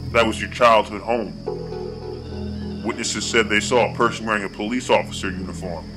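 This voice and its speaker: A man plays a concerned police partner with a lowish voice. He explains things patiently and deliberately, pausing for dramatic weight. Music runs clearly behind him in this audio drama. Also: steady tone, close to an everyday voice. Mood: solemn, explaining.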